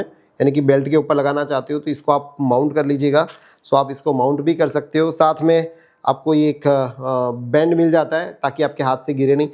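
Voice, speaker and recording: Higher-pitched Indian man demonstrating gadgets. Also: darkish tone. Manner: conversational, explanatory, Hindi mixed with English terms. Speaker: tech product reviewer. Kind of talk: casual product demo.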